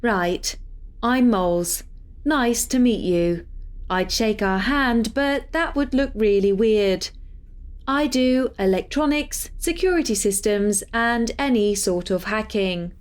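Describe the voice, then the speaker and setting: A woman's voice: a little high, expressive, takes it slowly. A woman playing a cheeky, chatty artificial intelligence in a sci-fi audio drama, with a breezy, teasing manner. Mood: brisk, teasing. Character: cheeky, chatty female artificial intelligence hacker sharing a body.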